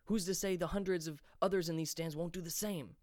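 A cautious young man urges his friends in a quick, hushed voice, as a storyteller voices him. He is slightly raised in pitch and hurried. The recording is dry and close. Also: steady tone, no echo, natural voice. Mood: urgent. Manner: urgent, hushed.

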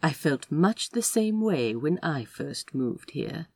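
Woman voicing husky, genteel Aziraphale, an amiable man. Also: low voice, sing-song, takes it slowly, no echo, studio quality, heavily acted. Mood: pleased, nostalgic. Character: Aziraphale, genteel, friendly man who smokes on occasion. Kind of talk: fan fiction podfic character acting.

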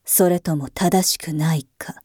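A woman's voice: sits low, steady tone, a little mellow, breathy edge. A woman playing a weary, imperious emperor. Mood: brooding. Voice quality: archaic, imperious speech. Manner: slow, brooding, half-whispered musing. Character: weary, world-tired figurehead emperor. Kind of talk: voice drama monologue.

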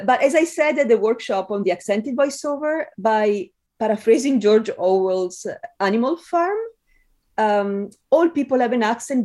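A middle-aged woman from Italy with an Italian accent, giving conversational interview answers with frequent ums and pauses. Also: medium pitch, a little mellow. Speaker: interview guest, film scholar.

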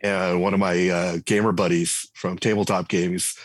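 Low, husky-voiced man telling stories. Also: normal speed, dry recording. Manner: relaxed storyteller, many restarts and fillers. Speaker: game writer and designer guest. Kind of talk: casual interview talk.

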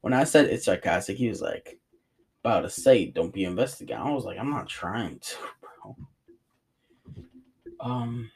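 A man's voice: on the low side, expressive, moderate pace, gravelly, long pauses, breathy edge. A man with a New York and New Jersey accent, rambling casually in slangy vlog chat from his room. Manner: slangy, rambling, says bro a lot. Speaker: vlogger. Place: bedroom in a rented cabin.

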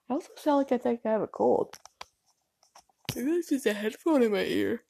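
A woman's voice: on the high side, expressive, normal speed, gravelly, mellow, breathy edge. A young woman from Canada with a stuffy nose, rambling casually at home with lots of fillers and apologies. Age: young adult. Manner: chatty, self-deprecating, filler-heavy with ums and likes.

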